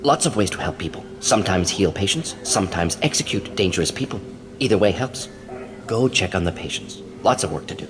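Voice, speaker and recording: An actor playing a brisk, clinical, aging alien scientist in a video game, speaking in clipped telegraphic phrases with dropped words. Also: male voice, low-pitched, animated. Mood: matter-of-fact. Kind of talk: video game character dialogue. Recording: long hall reverb, game music behind. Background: game soundtrack music.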